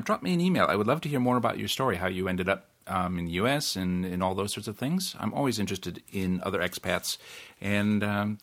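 Deep-voiced New podcast host. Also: male voice, a bit bright. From New Zealand. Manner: chatty, frequent restarts and self-corrections, wry laughs. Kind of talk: casual solo podcast monologue.

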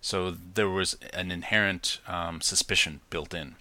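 Very deep-voiced man hosting a podcast. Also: steady tone, a little slow, sharp tone. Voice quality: many ums and restarts, conversational. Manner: chatty, reflective. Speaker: podcast host. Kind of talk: casual solo podcast talk. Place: New Zealand.

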